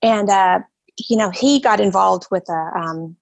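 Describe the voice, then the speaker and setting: A woman in her fifties, a podcast guest, explaining her habits in relaxed casual chat with many fillers. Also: mid-range voice, measured pace, creaky. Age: 50s.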